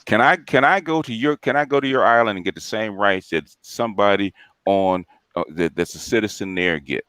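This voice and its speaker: A man's voice: fairly low pitch, fairly quick, a bit bright, clean tone, dry recording. A man hosting a live stream discussion, talking bluntly with stammering repeats. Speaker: stream host moderating. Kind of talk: casual discussion.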